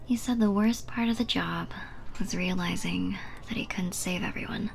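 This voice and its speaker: A woman playing a flirty, playful bartender in an ASMR roleplay, speaking in a soft, intimate, breathy half-whisper. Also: fairly low pitch, normal speed, slight background noise. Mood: sympathetic.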